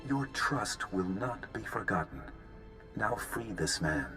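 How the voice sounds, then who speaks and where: A wise, patient creature over music. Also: male voice, lowish voice, animated. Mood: patient, grave. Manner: measured, formal. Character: wise patient male mutant creature, gentle Jedi teacher. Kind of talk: video game cutscene acting. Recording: music behind the voice.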